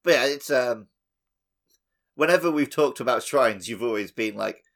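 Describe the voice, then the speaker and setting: A man's voice: mid-range voice, moderate pace, vocal fry, a bit bright. A man co-hosting a gaming podcast, bantering casually in a wry, joking manner. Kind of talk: casual banter about a video game.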